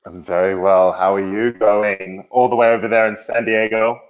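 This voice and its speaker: Lower-voiced Australian man, measured. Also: level tone, narrow, tinny audio. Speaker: podcast guest. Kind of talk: interview answers. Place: at home in London. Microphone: remote call.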